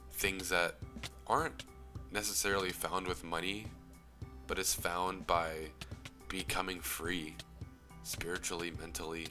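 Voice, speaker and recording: A young man in earnest, reflective commentary whose phrases trail off into breathy near-whispers. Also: deep voice. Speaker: YouTube commentator. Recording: music playing under the voice.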